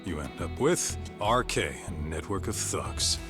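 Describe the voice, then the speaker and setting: An actor voicing a blunt, streetwise ex-gangster in a video game. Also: male voice, low voice, animated, unhurried. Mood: gruff. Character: gruff tough male ex-yakuza in his thirties. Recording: music playing behind. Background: music.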